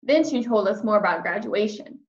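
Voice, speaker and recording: A woman reading a children's chapter book aloud in an expressive storytelling style. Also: medium pitch, normal speed, highs cut off. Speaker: storybook reader.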